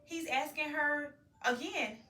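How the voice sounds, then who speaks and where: A woman telling a story to a young child as a mother, animated, prompting with questions and repeating the answers. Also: high-pitched, slow, soft background music. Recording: roomy, distant home recording with faint noise.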